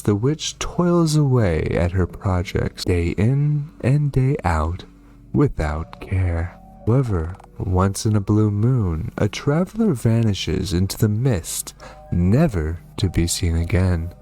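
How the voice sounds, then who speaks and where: A man hosting a horror game playthrough, reading and commenting in an expressive, theatrical way. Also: on the low side, takes it slowly, faint music behind. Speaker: gaming video host.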